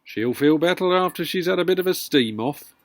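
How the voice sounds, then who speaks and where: Storybook: smug sweet-talking husband, as the reader puts him on, grinning, dry close sound. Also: male voice, on the high side, lively intonation, fairly quick, dry recording. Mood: sly sweet. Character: sly smirking husband, sweetly mischievous. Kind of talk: storybook reading.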